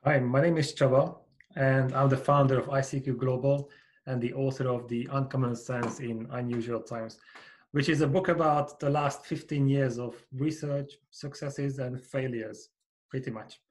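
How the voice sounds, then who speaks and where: A man's voice: mid-range voice, steady tone, moderate pace. Earnest Hungarian-accented man. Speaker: guest, founder and coach. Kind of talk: podcast interview.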